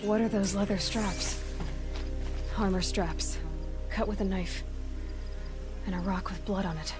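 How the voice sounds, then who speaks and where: Woman doing young huntress from a video game, determined, thinking out loud like an investigator, loud music under it. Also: mid-range voice, fairly even intonation, measured pace, close to an everyday voice. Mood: grave, musing. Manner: observant, thinking aloud in short deductions. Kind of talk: video game cutscene acting.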